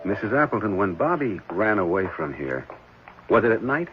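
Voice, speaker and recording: A low-voiced, concerned man, lively intonation. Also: normal speed, over loud music. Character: concerned, gently probing adult man, prospective foster father. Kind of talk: 1970s radio drama acting. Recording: muffled, noisy vintage radio recording.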